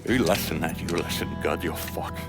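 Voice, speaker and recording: An actor playing a bitter, foul-mouthed Irish mobster in a video game cutscene, sneering and profane, with an Irish accent. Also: male voice, low-pitched, fairly quick, close to an everyday voice. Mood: furious. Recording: music behind the voice. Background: music.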